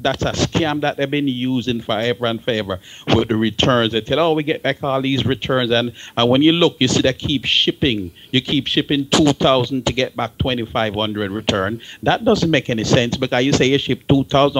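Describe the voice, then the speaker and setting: A man's voice: mid-range voice, fairly bright tone, dry recording, low-quality recording. A man with a Jamaican accent speaking in an opinionated radio discussion, emphatic and often repeating words for stress.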